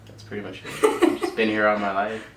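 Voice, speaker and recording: A man's voice: fairly low pitch, monotone, brisk. A man in his mid-twenties from San Diego, co-hosting a casual Q&A vlog, laid-back and teasing, a little sick. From San Diego, USA. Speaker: vlog co-host. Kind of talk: casual Q&A chat. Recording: echoey room, far from the mic.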